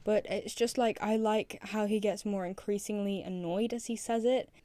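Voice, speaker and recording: A woman's voice: medium pitch, fairly even intonation, normal speed, mellow, dry recording. A woman presenting casual fan commentary in an enthusiastic way, repeating phrases for emphasis. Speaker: video presenter.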